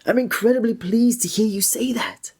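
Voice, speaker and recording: Man playing a soft, breathy, gallant fantasy innkeeper. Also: very high-pitched, moderate pace. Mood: hopeful, delighted. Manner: formal, old-fashioned, gallant, gentle phrasing. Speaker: ASMR roleplay performer. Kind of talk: scripted romantic ASMR roleplay.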